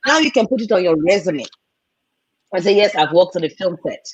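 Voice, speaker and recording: A woman with a Nigerian accent, a film and TV producer, telling animated stories as a guest on a video call. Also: fairly low pitch, fairly quick, breathy edge, muffled recording. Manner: animated, repeats words for emphasis. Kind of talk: candid storytelling in a conversation.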